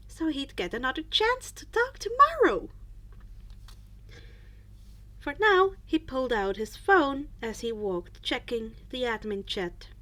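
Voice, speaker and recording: A woman narrating a written story as a podfic reader, with expressive scripted delivery. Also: high voice.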